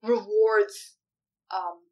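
A woman in her thirties, originally from Malaysia, speaking as a startup CEO in an in-person interview. She talks thoughtfully, filling pauses with ums and breaking into easy laughter. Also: medium pitch, fairly even intonation, dark tone. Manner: thoughtful, with many fillers and laughs.

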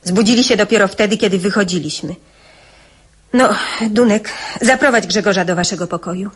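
An actress playing a caring, brisk mother of a schoolboy, giving motherly instructions in a radio drama. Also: mid-range voice, slightly rough, lightly acted. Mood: brisk, matter-of-fact.

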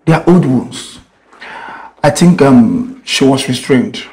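A man acting a serious, careful doctor who speaks in a measured, slightly hesitant way. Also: mid-range voice, lively intonation, warm tone. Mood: grave. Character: serious, careful doctor giving grim findings. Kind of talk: screen drama acting.

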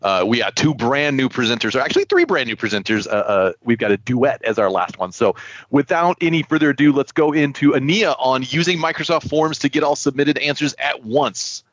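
A man's voice: mid-range voice, lively intonation, brisk, a bit bright, dry recording, lo-fi sound. A man hosting an online community call, thanking and introducing speakers. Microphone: computer mic on a video call.

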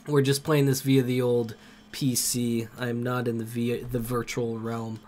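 A young man with a cold, streaming a game from home on a headset and greeting his chat by name with excited outbursts. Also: medium pitch, a little slow, a little hiss or hum. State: has a cold.

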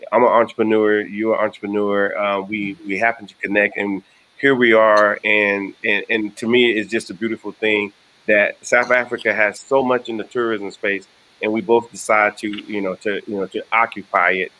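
Casting: middle-aged American male host, lowish pitch, bright forceful tone, stammers. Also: flat delivery, moderate pace, rough recording. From United States. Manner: earnest, stammering restarts. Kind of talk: casual video-call interview. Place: indoor room. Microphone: video call.

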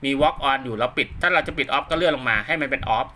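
A man's voice: fairly high pitch, quick pace, pushed and bright. A man talks viewers through steps in a casual, conversational, polite way, often repeating himself. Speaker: host of radio equipment tutorial. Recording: clearly noisy home-made recording with clicks.

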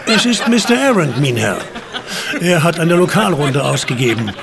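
Gravelly, courteous innkeeper. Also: male voice, on the high side, big pitch swings, normal speed. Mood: servile. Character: courteous, chiding male innkeeper. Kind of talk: German audio drama acting. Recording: busy pub crowd behind.

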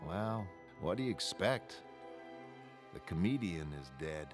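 A man's voice: low-pitched, lively intonation, unhurried, pitched below the performer's own voice. Man playing a wry, understated fellow. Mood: somber. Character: mild, wry, melancholy man swapping old stories. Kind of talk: dramatic comic-book narration in character voices. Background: music.

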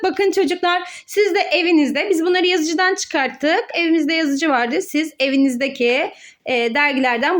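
A woman talking as a mother hosting a children's play video, encouraging and full of questions and exclamations. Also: sits high. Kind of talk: playful educational chat with child and viewers.

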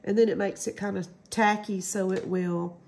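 A woman's voice: medium pitch, fairly even intonation, normal speed, vocal fry, a little mellow, a little hiss or hum. A middle-aged woman with a stuffy cold, chatting casually through a craft demo at home and rambling with lots of ums. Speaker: crafting channel host.

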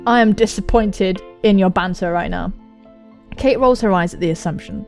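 A young woman hosting a let's play, reading a visual novel aloud and commenting on it with excitable, sweary exclamations. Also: mid-range voice, moderate pace, creaky. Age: young adult. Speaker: gaming video host. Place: indoor room at home, on webcam. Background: game soundtrack music.

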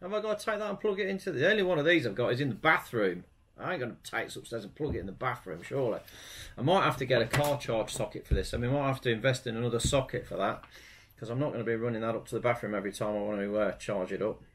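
A man's voice: medium pitch, very fast. Guy in his forties, casual reviewer who narrates every step, camera mic, noticeable background noise in a garage. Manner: chatty, talks himself through each step. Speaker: product reviewer. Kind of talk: casual hands-on product review. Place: home garage workshop, indoors. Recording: noisy garage recording.

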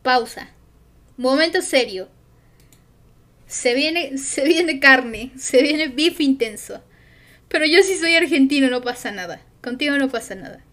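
Slow, high-voiced Mexican woman streamer. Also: a bit bright. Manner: rambling, hesitant with many fillers. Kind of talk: casual stream chat.

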